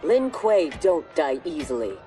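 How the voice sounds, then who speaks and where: A woman's voice: low-pitched, big pitch swings, unhurried, far from the mic, music in the background. An actress playing a composed, defiant warrior woman in a video game, cool and matter-of-fact. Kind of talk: cinematic video game acting.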